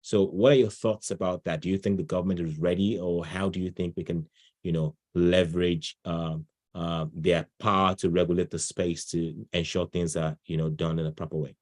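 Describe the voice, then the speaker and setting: A man with a deep voice moderates an online session. He talks in an informal, chatty way and is generous with thanks and encouragement as he hands the floor around. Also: level tone, normal speed, a little mellow. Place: online video call.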